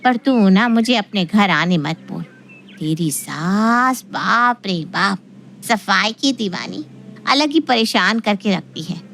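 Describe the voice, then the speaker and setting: A woman's voice: medium pitch, lively intonation, slow, creaky, a bit bright, slight background noise, full character voice. A woman playing a middle-aged mother of a married daughter in an audio story, affectionate but exasperated and complaining. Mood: wary, complaining. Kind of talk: Hindi audio story acting.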